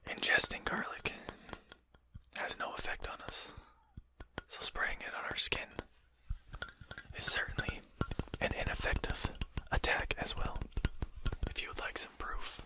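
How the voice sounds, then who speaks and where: A performer voicing a composed, courteous, faintly mocking vampire in a breathy, articulate whisper for an ASMR roleplay. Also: close to an everyday voice. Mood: calm, smug. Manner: slow, articulate, formal, gently mocking. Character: composed, courteous, condescending vampire addressing a hunter.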